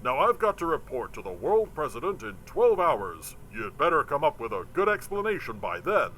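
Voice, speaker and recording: A man's voice: a little high, very animated, normal speed, over loud music, pitched above the performer's own voice, rough recording. Man playing a stern, curt military colonel. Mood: curt, pressured. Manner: snappish, official. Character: stern, curt male colonel under political pressure.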